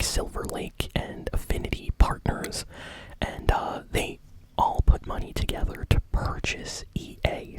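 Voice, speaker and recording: A man hosting a gaming news roundup in a soft, unvoiced ASMR whisper, chatting casually with lots of fillers. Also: poor audio. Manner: casual, filler-heavy, relaxed chatty delivery.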